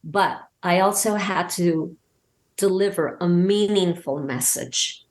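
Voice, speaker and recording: A woman talking casually as an interview guest and telling anecdotes, emphatic and halting, often repeating words as she goes. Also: lowish voice. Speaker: podcast guest, audio drama creator and voice actor.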